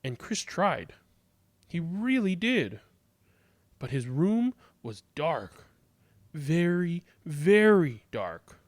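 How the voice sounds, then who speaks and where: A man reading a children's story aloud in an expressive, dramatic way, drawing words out deliberately. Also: on the high side.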